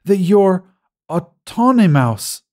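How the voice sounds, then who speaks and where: A man's voice: high voice, sing-song, slow, mellow, voice pushed up, exaggerated voice. A man voicing a studious, curious young girl in an audiobook story, with an earnest, simple manner.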